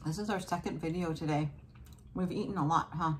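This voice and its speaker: A woman in her thirties with a fairly low voice, talking gently and casually as she tastes food at her kitchen table. The camera sits in front of her, and a faint hiss of room noise stays under her voice. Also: a little fast. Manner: soft, relaxed, savoring food between remarks. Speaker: food vlogger. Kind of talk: casual mukbang chat. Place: small indoor room at a table.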